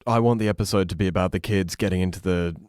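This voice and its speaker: A man chatting casually as a podcast guest, grumbling his criticisms in a halting way. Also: low voice, fairly even intonation, darkish tone, smooth, no room sound, studio quality. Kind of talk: casual review chat.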